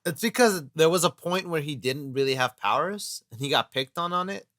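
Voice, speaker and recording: A man's voice: a little high, expressive, brisk, a bit bright, no echo, clean studio sound. A man co-hosting a casual podcast chat, talking earnestly and rambling with lots of filler phrases. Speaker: podcast co-host and voice actor.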